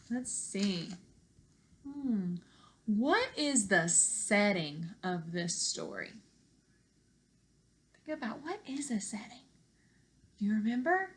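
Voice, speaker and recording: A woman in her thirties, an elementary teacher, speaking to young children in an animated, encouraging read-aloud style at home. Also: mid-range voice. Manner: animated, encouraging, simple questions addressed to children. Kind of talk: expressive children's read-aloud and lesson talk to young pupils. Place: living room at home.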